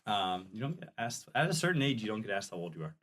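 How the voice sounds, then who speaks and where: A man's voice: lowish voice, very animated, quick pace, a bit bright. A man hosting a casual podcast, joking and teasing his guest, laughing often. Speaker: podcast host. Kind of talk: casual chat.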